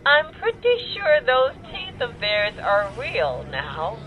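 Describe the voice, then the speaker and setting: Muffled phone line, high animated actress as a woman hostage, uneasy, earnest, audio drama. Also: slow, exaggerated voice. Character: uneasy toy store woman held hostage. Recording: muffled phone line, faint background noise.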